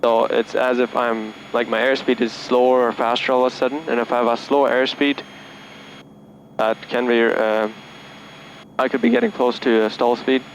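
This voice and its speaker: A man's voice: mid-range voice, moderate pace, lo-fi sound. A man, a student pilot casually narrating his flight and making radio calls. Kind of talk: casual in-cockpit vlog chat and radio calls. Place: small airplane cockpit in flight. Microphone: cable-fed in-cockpit headset audio. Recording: cockpit audio with steady engine noise. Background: light aircraft engine drone.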